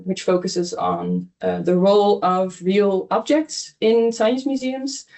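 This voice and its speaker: Gravelly-voiced woman, middle-aged. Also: medium pitch, a little mellow. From Netherlands. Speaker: education coordinator and teacher.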